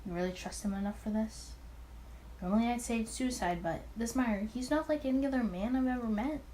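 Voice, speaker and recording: Girl playing a careful puzzled 1920s detective guy in a story game, highish voice, noisy audio. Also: a little fast, dark tone, natural voice. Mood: doubtful, wary. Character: wary, baffled male 1920s police detective.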